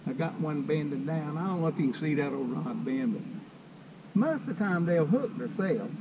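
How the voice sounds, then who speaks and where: A man's voice: on the high side, lively intonation, moderate pace. Folksy older Southern man fishing from a boat. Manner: folksy, drawling, talks to himself while working the rods. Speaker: fishing video host. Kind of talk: casual talk to camera while fishing. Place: small boat on a lake, outdoors. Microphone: action camera mounted on the boat. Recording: outdoor lake ambience with clear background noise.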